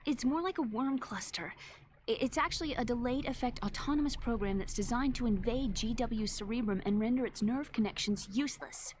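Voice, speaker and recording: A woman's voice: a little high, brisk, slight background noise, natural voice. A woman playing a young computer programmer in a video game cutscene, bitter yet matter-of-fact, with precise delivery. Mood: matter-of-fact, explanatory. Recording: game music behind the voice.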